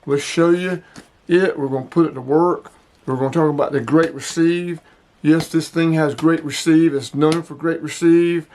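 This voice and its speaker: A man's voice: fairly high pitch, steady tone, normal speed, a little mellow, slight background noise. A man in his sixties hosting a casual hobby product showcase, speaking emphatically and repeating himself to drive points home. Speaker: host of a hobby radio video.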